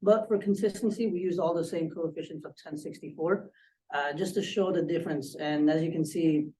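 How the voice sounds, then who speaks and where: A man presenting technical work as a student, in an informal, halting, conversational way. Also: on the high side, dark tone, slight background noise. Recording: distant microphone pickup.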